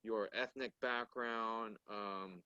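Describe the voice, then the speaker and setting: A man's voice: lowish voice, fairly even intonation, bright, forceful tone, clear, resonant tone, no room sound, lo-fi sound. A man whose first language is Korean, speaking thoughtfully in a casual discussion and often restarting his phrases. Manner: measured, thoughtful, frequent restarts.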